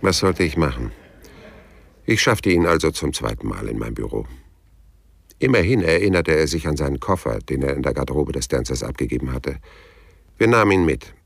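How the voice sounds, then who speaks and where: A man's voice: a bass voice, lively intonation, brisk, gravelly, pauses often, husky, no echo, full character voice. An actor playing a laconic, world-weary private detective who narrates his story dryly in a noir radio drama. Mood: dry, wry. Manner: dry, laconic. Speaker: narrator and lead character.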